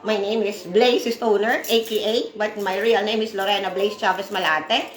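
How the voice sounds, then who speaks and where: A woman's voice: mid-range voice, steady tone, moderate pace, echoey room. Rambling Filipino-accented woman in her forties. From Philippines. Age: 40s. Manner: rambling, chatty, laughs often. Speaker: livestream host. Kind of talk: casual livestream chat with viewers.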